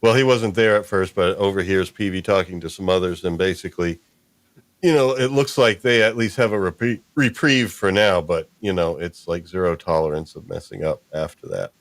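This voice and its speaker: A man's voice: low voice, normal speed, dry recording. A man hosting a casual podcast chat, with a hesitant, meandering way of phrasing things. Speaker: podcast host.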